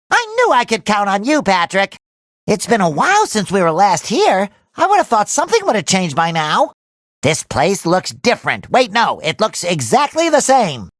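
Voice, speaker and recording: A man voicing a cheerful, naive, excitable young male cartoon sea sponge in a video game, with a nasal, squeaky, childlike and eager delivery. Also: no echo, studio quality, full character voice. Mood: grateful, then nervous, relieved.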